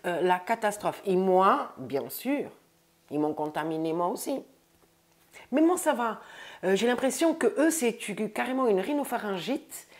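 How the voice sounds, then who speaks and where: A woman in her thirties with a stuffy nose from a cold, chatting casually to her viewers about her groceries in a bright room, recorded on a lapel mic. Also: medium pitch. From France. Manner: chatty, says "les amis" often, upbeat. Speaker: vlogger. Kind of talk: casual grocery haul vlog. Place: bright room indoors by a window.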